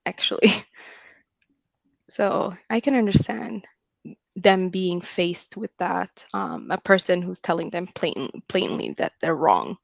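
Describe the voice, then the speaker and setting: A woman with an Arabic accent calling into a livestream, talking haltingly with many pauses and small laughs. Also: fairly low pitch, expressive, gravelly, dry recording. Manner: hesitant, with frequent laughs and ums. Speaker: caller. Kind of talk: casual call-in chat.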